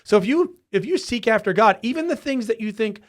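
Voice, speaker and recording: A man hosting a podcast, talking in a relaxed, conversational way. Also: sits high, fairly quick. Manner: relaxed, conversational, brief affirmations. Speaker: podcast host. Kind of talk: casual interview.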